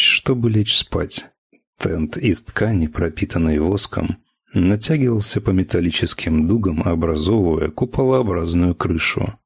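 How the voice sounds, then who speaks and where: A man's voice: low voice, darkish tone, husky, no room sound, clean studio sound. A man reading first-person fiction as a scripted audiobook narration.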